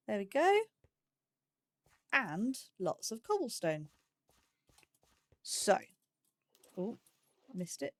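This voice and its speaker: A woman's voice: medium pitch, dry recording. A woman giving casual let's play commentary, chatting and musing aloud in a self-deprecating way. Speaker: gaming YouTuber, host of a let's play series.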